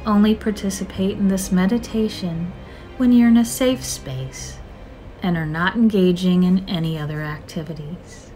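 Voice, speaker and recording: A woman narrating a scripted guided meditation in a soothing, measured, gentle manner with drawn-out phrases. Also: medium pitch. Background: soft music.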